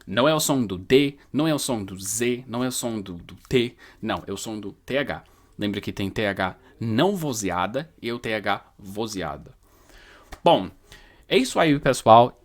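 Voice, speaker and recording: A man's voice: fairly low pitch, animated, normal speed. American man teaching, with a New York accent. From New York, United States. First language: English. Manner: explanatory, repeats and stresses words. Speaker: English teacher. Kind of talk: informal pronunciation lesson to viewers.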